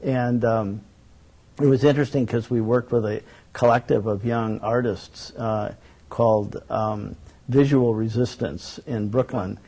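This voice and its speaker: Man, low voice, mellow tone, earnest interview guest, measured with fillers. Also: fairly even intonation. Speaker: guest, documentary network director. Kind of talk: talk show interview.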